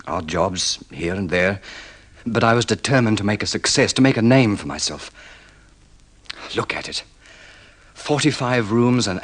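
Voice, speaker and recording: An actor playing an earnest, courteous, persuasive suitor with gentlemanly polish in an old-time radio drama. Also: male voice, lowish voice. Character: earnest, smooth-talking suitor, self-made man with gentlemanly polish. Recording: vintage radio drama recording.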